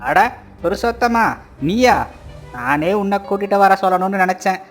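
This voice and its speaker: A man's voice: high-pitched, moderate pace, soft background music, lightly acted. A man acting a blustering, greedy landlord. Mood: oily, flattering. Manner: blustering, self-righteous. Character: greedy, deceitful village landlord, falsely honest and bullying. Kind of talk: Tamil cartoon moral story acting.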